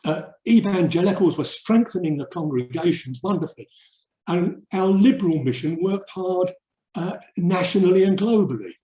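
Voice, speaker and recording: Older english guy doing a thoughtful talk online, fairly high mellow voice, earnest, roomy echo. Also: expressive, moderate pace. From England. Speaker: urban mission speaker and theologian. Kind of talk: reflective webinar talk. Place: indoor room, online webinar. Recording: roomy, echoey webinar audio.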